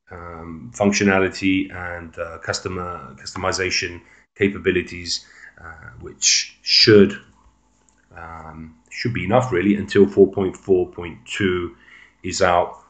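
A man giving a casual, unscripted phone walkthrough as an amateur reviewer, explaining things in a halting way. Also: sits low.

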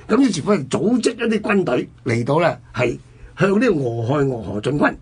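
A man's voice: on the high side, very animated, fairly quick, husky. A man with a Hong Kong Cantonese accent telling history conversationally as a radio host, in an explanatory manner.

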